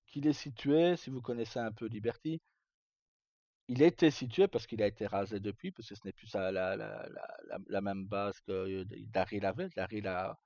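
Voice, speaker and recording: A dull, dry recording of a man with a fairly low, mellow voice. He talks casually like a streamer recounting old roleplay stories. His delivery rambles and halts, with words repeated as he searches for the next one. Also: dry recording. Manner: halting, rambling, frequent self-repetition. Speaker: livestream host.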